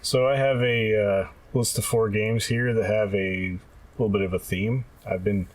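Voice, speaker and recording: Lower-pitched man with a husky voice. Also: fairly even intonation, normal speed. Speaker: podcast co-host. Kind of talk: casual podcast chat about retro games.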